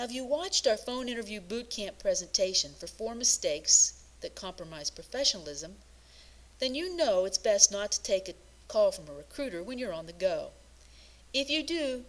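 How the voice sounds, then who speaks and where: A woman presenting scripted instructional narration as a career coach, speaking in a measured, instructive manner. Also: medium pitch, poor audio.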